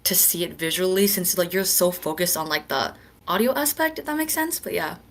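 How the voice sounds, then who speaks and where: A woman's voice: fairly low pitch, expressive, brisk, fairly bright tone. A woman chatting reflectively as a podcast panelist on an online call, informal, self-deprecating and heavy on filler words. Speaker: podcast panelist, writer and amateur voice actor. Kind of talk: casual reflective chat.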